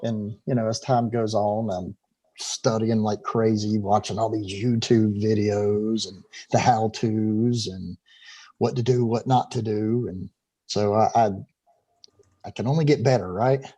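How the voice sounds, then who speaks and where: A man's voice: on the low side, steady tone, unhurried, warm tone. A middle-aged man, talking as a guest on a video call interview, rambling and repeating words as he goes. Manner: talkative, stumbles and repeats words, laughs easily. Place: home room.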